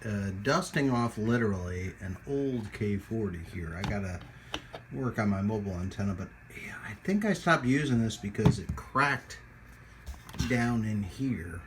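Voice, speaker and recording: A middle-aged man with a fairly low voice talks casually through a hands-on hobby vlog. He rambles in a colloquial, self-deprecating way. Some ambient noise sits behind his voice. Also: expressive, a little slow. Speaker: radio hobbyist making a video. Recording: clear background noise and ambience.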